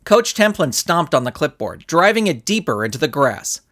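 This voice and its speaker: Animated male storybook narrator. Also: on the high side, normal speed. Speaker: narrator and author.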